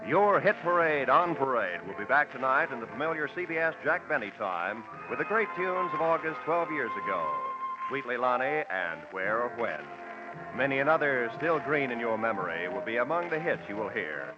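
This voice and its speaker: A man's voice: mid-range voice, sing-song, moderate pace, over music. An American man doing polished, emphatic scripted announcing in the style of 1940s network radio. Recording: muffled vintage radio recording.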